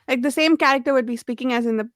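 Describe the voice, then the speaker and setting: A woman's voice: sits high, very fast, no echo, clean studio sound. A woman in a casual book discussion, talking in a rambling, enthusiastic way.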